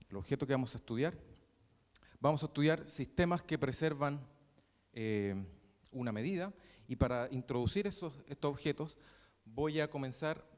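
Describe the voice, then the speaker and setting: A man's voice: mid-range voice, expressive. Chilean guy teaching math, lots of ehs and restarts, long hall echo. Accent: Chilean Spanish. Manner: halting, with many fillers and restarts. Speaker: lecturer. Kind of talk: university math lecture. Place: lecture hall. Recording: reverberant lecture hall.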